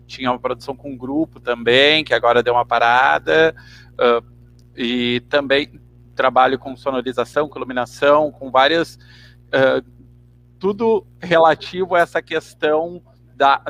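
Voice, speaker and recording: A southern Brazilian man with a medium-pitched voice recounts his work casually. He speaks haltingly and thoughtfully, with a faint hum of noise on the recording. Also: fairly bright tone. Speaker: theatre director, interview guest. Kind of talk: casual personal account. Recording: clearly noisy, humming background.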